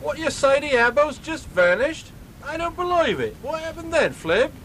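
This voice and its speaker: A man's voice: very high-pitched, sing-song, moderate pace, fairly bright tone, a little hiss or hum, full character voice. A man with a put-on Australian accent playing a laid-back pub mate who chuckles and eggs on his friend's story in a comic radio drama. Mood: curious. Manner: chuckling, easygoing pub banter.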